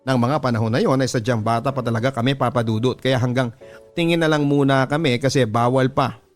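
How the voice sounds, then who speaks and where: A man's voice: medium pitch, fairly even intonation, fairly quick, soft background music. A man with a Filipino accent narrating a scripted first-person love story in the style of a radio drama.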